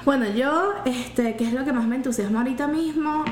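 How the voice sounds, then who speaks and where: A woman's voice: sits high, fairly quick. A woman in her twenties with a Venezuelan accent, co-hosting a casual podcast chat, upbeat and laughing, mixing in English phrases. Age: 20s. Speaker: podcast co-host. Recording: echoey room with faint noise.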